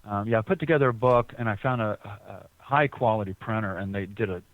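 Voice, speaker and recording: A man with a fairly low voice talks as a guest on a call-in interview, rambling and often restarting his sentences. His voice comes through a tinny, narrow phone line. The sound is dry and close, with no room around it. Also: fast, no echo. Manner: rambling, frequent restarts and repeated words. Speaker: guest artist. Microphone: telephone.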